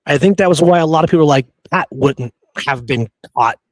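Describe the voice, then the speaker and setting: A man's voice: a little high, fairly quick, no room sound. Emphatic male podcast host, dry close sound. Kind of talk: casual history discussion.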